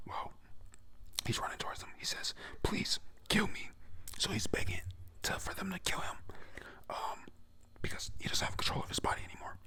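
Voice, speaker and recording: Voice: medium pitch, pushed and bright, slightly rough. A voice whispering a casual, profane recap of an anime episode, often stumbling over names and restarting sentences. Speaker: recap narrator.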